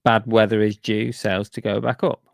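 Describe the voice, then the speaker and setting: Gravelly man, low chatty voice. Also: flat delivery, normal speed, no room sound, studio quality. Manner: chatty, grumbling, reads out viewer messages. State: losing his voice. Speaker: reseller streamer reading chat. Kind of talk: casual live chat.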